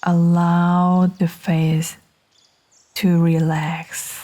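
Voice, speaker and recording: A woman guiding a meditation in a gentle, soothing manner, speaking in short phrases with long, unhurried pauses. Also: lowish voice, flat delivery. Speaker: meditation guide. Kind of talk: guided meditation narration.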